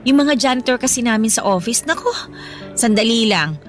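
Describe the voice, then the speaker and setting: A woman playing a caring, supportive young wife in a radio drama, speaking gently and conversationally. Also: a little high, expressive, brisk, soft background music, natural voice. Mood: gentle, reassuring. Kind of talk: Tagalog radio drama dialogue.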